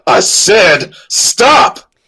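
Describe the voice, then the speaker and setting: A man playing a protective Jedi brother in an audio drama, pleading forcefully and urgently in a strained voice. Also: high-pitched, sing-song, drawn-out words, exaggerated voice. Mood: commanding, urgent.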